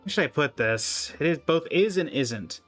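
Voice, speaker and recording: A man reading aloud the lines of an ancient, gentle, regretful woman guardian in a video game, in a calm, measured way. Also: fairly high pitch, soft background music, voice pushed up. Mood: solemn.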